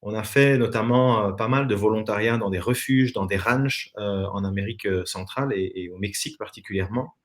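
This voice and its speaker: A man's voice: lowish voice, fairly quick, few pauses. Man, Belgian French accent, interview guest, earnest, reflective, video call. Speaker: interview guest, former professional dancer. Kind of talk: casual online interview.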